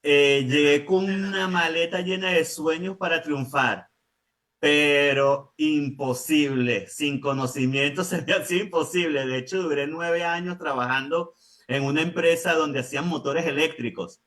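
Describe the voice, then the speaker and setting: A man's voice: a little high, measured pace. A man. He sits a bit back from the microphone, so he sounds distant. He talks steadily and often agrees with a firm "así es". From Venezuela. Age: late 40s. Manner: calm, affirming, often says "así es". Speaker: guest, business owner. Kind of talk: conversational interview storytelling.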